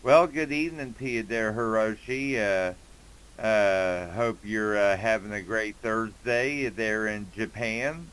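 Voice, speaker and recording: Male radio amateur, 40s, American, very clear tone, leisurely folksy delivery over a casual contact. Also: fairly low pitch, fairly even intonation, slow, a bit bright, low-quality recording. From Indiana, United States.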